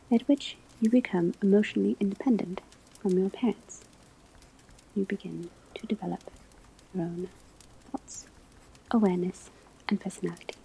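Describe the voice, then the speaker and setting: A woman playing a patient, formal witch-school professor in an ASMR classroom roleplay, whispering a measured, precise lecture. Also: mid-range voice, creaky, mellow, slight background noise, rough recording. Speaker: divination teacher in a roleplay.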